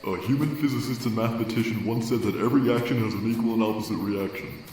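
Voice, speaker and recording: A man's voice: on the low side, fast, a little mellow, soft background music, lightly acted. A man voicing an easygoing, wry skeleton older brother with a brooding side, in a fan comic dub. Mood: brooding, reflective. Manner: casual, wry. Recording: echoey, distant mic.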